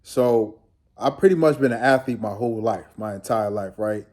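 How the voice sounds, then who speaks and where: A man's voice: on the low side, normal speed, darkish tone. A young man from Guyana, a fitness trainer, talking casually and rambling to his viewers in a laid-back vlog.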